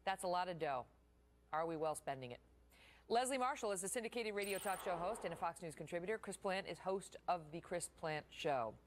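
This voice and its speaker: A woman's voice: lowish voice, expressive, brisk, a little hiss or hum. A woman with an American accent hosting television news, reading out reports and moving into conversational asides. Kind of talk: broadcast news anchoring and interviewing.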